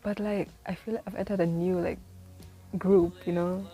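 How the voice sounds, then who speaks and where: A woman's voice: fairly low pitch, flat delivery, moderate pace, warm tone, soft background music, low-quality recording. Chatty, rambling twenty-year-old woman. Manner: chatty, rambling, full of "like" and "you know"; laughs often at herself. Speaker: vlogger giving life advice. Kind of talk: casual rambling monologue to camera. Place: in the city where she lives.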